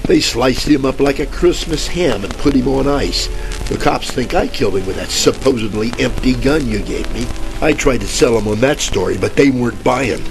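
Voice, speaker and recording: A wisecracking private eye from a 1940s radio parody, played by a man. He has a gritty, creaky voice and a deadpan, sarcastic way with overblown similes. Music plays under the crackly old-time broadcast. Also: medium pitch, normal speed. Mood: sarcastic, tough. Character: wisecracking hard-boiled male private detective, bumbling and sarcastic. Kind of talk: 1940s radio detective parody, noir first-person narration.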